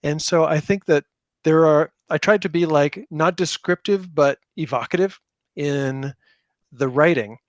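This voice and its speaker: A man's voice: on the high side, unhurried, no room sound, clean studio sound. A man speaking casually as a guest in a podcast interview about his own work, with a self-deprecating manner. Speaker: podcast guest, tabletop game designer.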